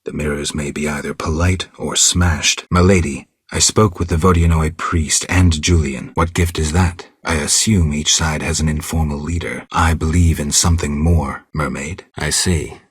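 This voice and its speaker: Game casting: world-weary witcher, rock-bottom bass register, gravelly, terse and deadpan, recorded very dry. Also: normal speed, few pauses, dry recording, heavily acted. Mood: stoic, reserved. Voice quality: gravelly, husky. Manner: terse, restrained, deadpan delivery. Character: stoic, world-weary male monster-hunting witcher. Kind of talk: video game character dialogue.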